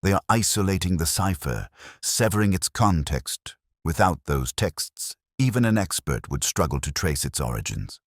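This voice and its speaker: Man playing urgent Victorian messenger, very low voice, formal, clipped, ominous, storybook mystery, dry close sound. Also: sing-song, moderate pace, no echo. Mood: ominous urgency.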